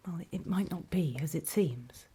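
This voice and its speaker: A woman playing a weary, wary zombie apocalypse survivor in a halting, breathy whispered ASMR horror roleplay. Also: on the low side, animated, mellow. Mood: grim, hushed. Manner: halting, hesitant, slow and trailing off.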